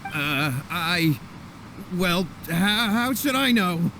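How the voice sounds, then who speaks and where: Flustered young coward, voice swooping. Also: male voice, high-pitched, animated, drawn-out words, sharp tone, a little hiss or hum, full character voice. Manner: stammering, melodramatic. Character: cowardly, flustered young man pleading in panic. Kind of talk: anime game voice acting.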